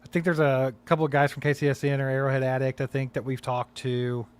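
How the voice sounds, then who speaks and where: A man's voice: mid-range voice, flat delivery, fast talker, clean tone. A man co-hosting a casual live sports podcast stream, rambling with many fillers and reading out viewer comments. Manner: rambling, many fillers, reads chat aloud. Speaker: podcast co-host.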